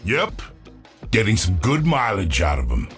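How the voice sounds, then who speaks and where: An actor playing a huge, brash and jovial cyborg warrior in a video game, with a boastful, playful swagger. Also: male voice, lowish voice, wildly swooping pitch, a little slow, fairly bright tone, soft background music, heavily acted. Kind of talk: video game character voice acting.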